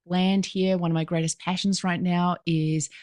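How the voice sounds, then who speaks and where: A woman with a New Zealand accent, a business coach talking in a lively, emphatic way as a podcast guest. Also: lowish voice, moderate pace, no echo, clean studio sound. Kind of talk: podcast interview.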